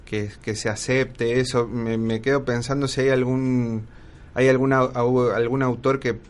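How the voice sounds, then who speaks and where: A man's voice: mid-range voice, little pitch movement, a little slow. A man hosting an interview, asking long, meandering questions with many fillers. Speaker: radio host. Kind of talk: conversational interview.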